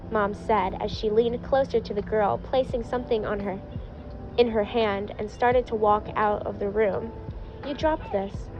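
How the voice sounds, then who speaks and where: A woman reading fan fiction aloud as scripted narration. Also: mid-range voice. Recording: dull lo-fi audio. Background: music.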